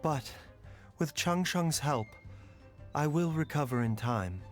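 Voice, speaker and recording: A man voicing a calm, composed physician in game dialogue, speaking in a soft, formal, measured way. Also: on the high side. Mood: reassuring. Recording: soft music underneath.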